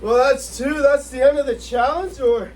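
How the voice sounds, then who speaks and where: A man's voice: very high-pitched, fairly even intonation, moderate pace. A young man hosting and refereeing an amateur backyard wrestling challenge, laughing often and teasing his opponents. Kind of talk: playful amateur wrestling banter. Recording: distant mic with faint noise.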